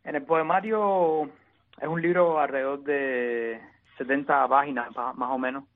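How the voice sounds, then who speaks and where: Cuban man, exiled poet guest, halting delivery full of restarts, tinny phone-line sound. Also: mid-range voice, fairly even intonation. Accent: Cuban Spanish. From Cuba. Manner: halting, many restarts and repeated words. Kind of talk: interview answers.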